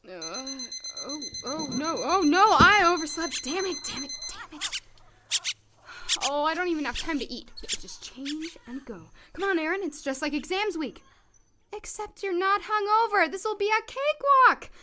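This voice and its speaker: A woman playing an impatient, sardonic young lab employee in an audio drama, expressive and given to muttering. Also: very high-pitched, measured pace, noisy background. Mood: frantic.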